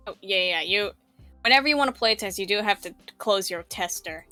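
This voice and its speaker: A woman's voice: medium pitch, animated, a little fast, pushed and bright, dry recording. A woman chatting casually as she guides someone through a tutorial, with music in the background. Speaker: tutor guiding a visual novel project.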